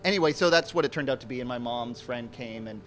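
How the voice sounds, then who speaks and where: A man's voice: medium pitch, fast talker, fairly bright tone. A man casually telling a rambling personal story, acting out bits of dialogue, with guitar music playing behind him.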